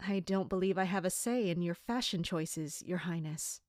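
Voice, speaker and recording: A woman playing a dutiful, wary guard captain, speaking and narrating in a formal, measured audio drama style. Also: fairly low pitch, darkish tone, dry recording, studio quality, lightly acted. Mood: polite, reserved. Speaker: narrator and lead character. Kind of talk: scripted first-person audio drama narration.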